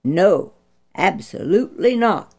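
A woman's voice: medium pitch, lively intonation, takes it slowly, darkish tone. A woman voicing an earnest young male hero, calling out and pleading in a storybook reading. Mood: firm.

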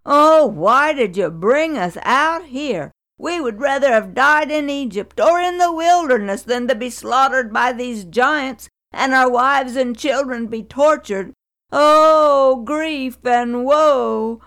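A woman voicing a crowd of grumbling, rebellious wanderers in a storybook reading, whining and shouting. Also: high voice, takes it slowly, voice pushed up, heavily acted.

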